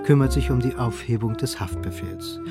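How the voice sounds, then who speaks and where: A man telling the story of a radio play in a calm, even way, at a middling pitch. Music plays beneath his narration. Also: normal speed. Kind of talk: scripted radio drama narration.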